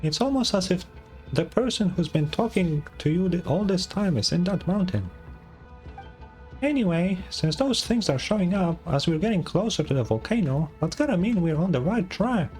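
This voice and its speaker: A man voicing a small, chatty, excitable fairy companion, reading out video game dialogue in a chirpy, questioning way. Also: sits high, moderate pace, soft background music. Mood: curious, chatty.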